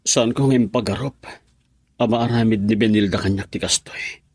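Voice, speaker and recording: A man's voice: on the low side, moderate pace, a little mellow, slightly rough, no room sound, studio quality. A man with an Ilocano accent playing a heartbroken young husband in a radio drama. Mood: pleading.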